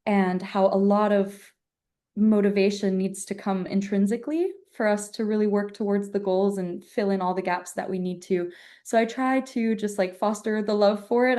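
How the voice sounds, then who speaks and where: A young woman from Germany speaks as a podcast guest with a mellow, warm tone. She explains her teaching in a thoughtful, measured way, often softening points with "kind of". Her pitch sits in a middle range. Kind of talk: podcast interview.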